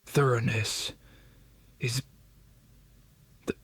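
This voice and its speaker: A man voicing an arrogant male alien counselor in a sci-fi audiobook, half-whispering in slow, halting, formal phrases with cold condescension. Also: medium pitch, steady tone, a bit bright, slightly rough, no room sound, pitched above the performer's own voice, heavily acted. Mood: smug. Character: arrogant alien counselor, smug, cold, beautifully resonant, dismissive. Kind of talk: audiobook character voice.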